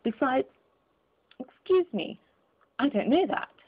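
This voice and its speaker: A woman's voice: high voice, big pitch swings, takes it slowly, breathy edge, voice pushed up, exaggerated voice. A woman voicing a cold, curt, indignant old miser in a dramatised storybook reading. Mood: curt, dismissive. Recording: muffled phone-like narrow sound.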